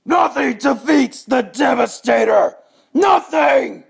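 A man voicing a huge, boastful robot brute, bellowing roughly in rage for a cartoon audition. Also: extremely high pitch, lively intonation, slow pace, raised voice, exaggerated voice. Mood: raging, triumphant. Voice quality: rough, gravelly.

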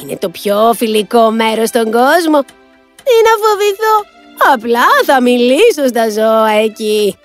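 A woman voicing a brave, gentle, cunning old village woman in a children's fairy-tale cartoon, with coaxing, drawn-out phrasing. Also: a little high, lively intonation, close to an everyday voice. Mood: reassuring, confident. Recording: music behind the voice.

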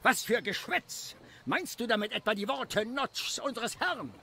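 Self-important blustery priest in a kids story, high voice, all over the place. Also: male voice, expressive, measured pace, sharp tone, a little hiss or hum, full character voice. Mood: outraged. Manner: pompous, blustering, stammering when outraged.

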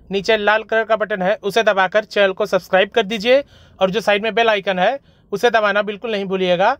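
A man's voice: sits high, a bit bright, dry recording. A young man hosting a plumbing channel, explaining a pipe fitting in a friendly voice-over and often calling viewers his friends. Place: voice-over over animation.

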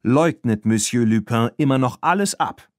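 A man's voice: mid-range voice, lively intonation, moderate pace, no echo, studio quality, close to an everyday voice. A man playing a stern, resolute marquis with a firm, accusing manner in a children's audiobook.

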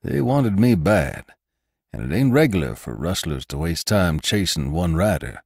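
A man's voice: low voice, big pitch swings, normal speed, vocal fry, slightly rough, dry recording, clean studio sound, exaggerated voice. A man voicing a loyal, earnest cowboy rider in a western audiobook, with plainspoken, folksy phrasing. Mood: puzzled. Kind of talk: audiobook character acting.

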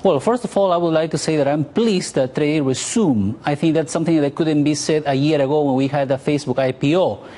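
A man's voice: fairly high pitch, a little fast, few pauses, dark tone. A man in his forties speaking as a guest commentator in a live TV news interview. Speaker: author and market commentator guest.

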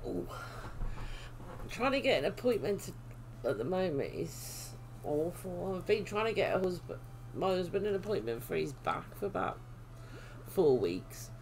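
A British woman chatting casually as she livestreams a game, unhurried and half-absorbed, her voice slightly going. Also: lowish voice, very animated, darkish tone, a little hiss or hum. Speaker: livestream host. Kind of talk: casual livestream chat while gaming. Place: indoor room at home.

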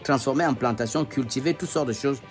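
A man's voice: mid-range voice, brisk, faint music behind. A man talking earnestly to his phone camera, making an argument. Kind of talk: opinion video commentary.

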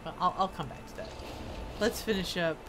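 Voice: mid-range voice, fairly quick, noisy background. A game streamer chatting casually and thinking aloud while playing. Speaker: game streamer reading quest dialogue aloud. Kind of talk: casual gaming stream with read-aloud dialogue.

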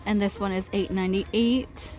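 A woman's voice: medium pitch, steady tone, normal speed, darkish tone, soft background music. A woman in her thirties, chatting casually as she walks a large warehouse store and reads out prices, filming on a handheld phone. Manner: chatty, reading out prices, small laughs. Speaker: shopping vlogger. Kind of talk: casual shop-with-me narration. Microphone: handheld phone camera, first-person view.

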